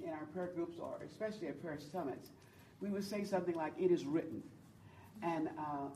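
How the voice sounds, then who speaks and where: A woman with a low voice teaches a group about leading worship, earnest and encouraging as she draws her listeners in. She is heard from across the room, the sound roomy and carrying a faint hum of ambience. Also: mellow, recorded from a distance. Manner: earnest, encouraging, speaks to the audience and invites replies. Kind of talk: church teaching talk.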